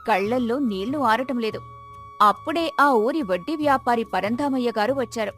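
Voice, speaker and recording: A woman narrator telling a moral story in scripted storytelling style. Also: a little high.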